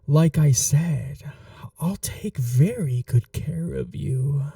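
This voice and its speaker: Man acting very slow, dark-voiced possessive vampire. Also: fairly high pitch, vocal fry, no room sound, studio quality, far from the mic, exaggerated voice. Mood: tender, possessive. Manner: slow, hushed, dominant, formal endearments, often whispering. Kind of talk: intimate ASMR roleplay, scripted monologue.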